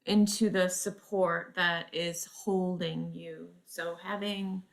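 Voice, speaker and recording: A woman teaching yoga nidra, speaking in measured guided-meditation phrases with frequent pauses mid-sentence. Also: lowish voice, level tone.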